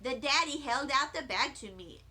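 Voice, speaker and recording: Woman, children's story narrator, high bright voice, animated, expressive, deliberate. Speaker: storybook reader.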